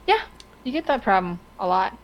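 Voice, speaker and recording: A woman's voice: mid-range voice, very animated, moderate pace, dull audio. Female co-host, mid-range voice, casual and enthusiastic, tends to ramble through her stories. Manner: chatty, rambling, enthusiastic storytelling. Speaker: co-host of a talk stream. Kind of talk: casual chat.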